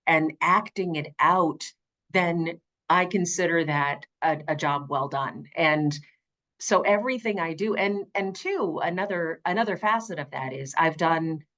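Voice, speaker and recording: A middle-aged woman speaking conversationally in an interview as an audiobook narrator, with earnest, expressive and emphatic phrasing. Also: deep voice, unhurried, dull audio.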